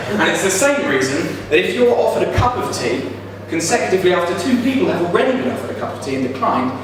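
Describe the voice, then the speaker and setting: A young man doing a deadpan stand-up comedy set in a pub. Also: high-pitched. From South East England. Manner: deadpan delivery, laughs at own jokes. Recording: roomy pub sound from far off. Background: audience laughter.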